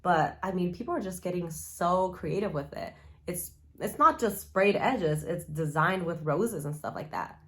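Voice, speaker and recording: A woman chatting casually as a book vlogger, gushing and rambling informally with frequent asides. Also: on the low side.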